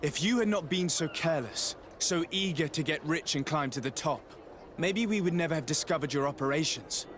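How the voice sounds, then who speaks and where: A man's voice: fairly high pitch, a bit bright, a little hiss or hum, lightly acted. A man playing a composed diplomat. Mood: stern. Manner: measured, formal. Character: composed male diplomat, calm and authoritative. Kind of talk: video game voice acting.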